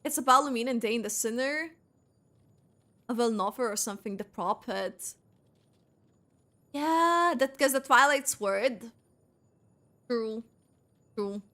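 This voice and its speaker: A woman's voice: fairly high pitch, animated, slow pace, long pauses, bright, forceful tone. A woman streaming a game with casual, rambling commentary, thinking aloud and correcting herself as she goes. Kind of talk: casual live gameplay commentary.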